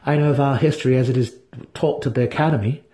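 A man plays a brooding young dark elf in a fantasy audiobook. His voice is mellow and unforced, sober and wary. A dry sarcasm sits under the guarded delivery. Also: mid-range voice, fairly even intonation, fast talker, voice pushed up, natural voice. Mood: resigned. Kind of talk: dramatic fantasy audiobook character reading.

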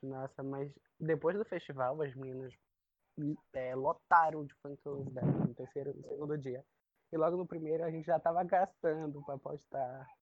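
A woman co-hosting a casual fan podcast, chatty and quick to laugh as she explains things. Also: low-pitched, steady tone, no room sound. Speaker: podcast co-host. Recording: dry muffled lo-fi audio.

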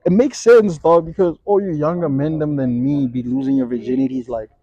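A man's voice: on the high side, fairly quick, dark tone, highs cut off. A young man in his twenties with a South African accent, co-hosting a podcast in slangy, joking banter. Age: 20s. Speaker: podcast co-host. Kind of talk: casual chat.

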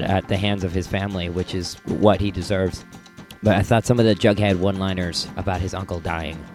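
An American man hosting a podcast, talking casually with a rough, under-the-weather voice. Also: on the low side. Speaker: podcast host. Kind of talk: casual podcast outro talk.

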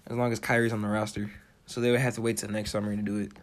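A man's voice: lowish voice, flat delivery, rapid-fire, a little hiss or hum. A young American man co-hosting a casual sports chat, giving his opinions in short, emphatic, informal statements. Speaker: podcast co-host.

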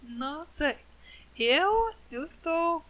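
A woman, an English native speaker, hosting a podcast in Portuguese, speaking slowly and carefully as a learner and correcting herself. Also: high-pitched, lively intonation, fairly bright tone. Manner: slow, careful learner's speech with self-corrections. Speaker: podcast host. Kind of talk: casual podcast monologue.

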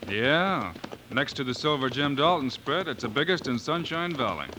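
Western radio hero lawman, played by a man: mid-pitched, terse, unruffled, on a noisy old recording. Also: expressive, moderate pace, natural voice. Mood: calm, easygoing. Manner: terse, low-key. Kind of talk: 1950s western radio acting.

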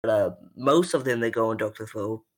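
A man with an accent others mistake for British, co-hosting a casual podcast in an excitable, rambling way. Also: on the low side, a little fast. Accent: non-American accent others take for British. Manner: chatty, excitable, lots of "like" and "bro". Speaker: podcast co-host.

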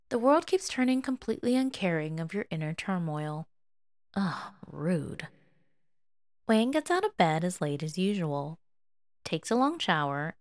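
A woman narrates a story in an expressive, dramatic way. Her voice sits a little low for a woman and moves through a wide, animated range. The recording is close and dry. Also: dry recording. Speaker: fan fiction audiobook reader.

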